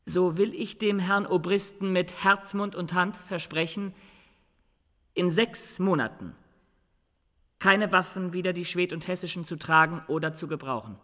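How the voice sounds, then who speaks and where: An actor playing a proud, loyal young soldier in a period radio drama, speaking in formal, courtly, earnest phrases. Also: male voice, sits high, a little slow, bright, forceful tone. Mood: resolute. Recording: long reverberant room.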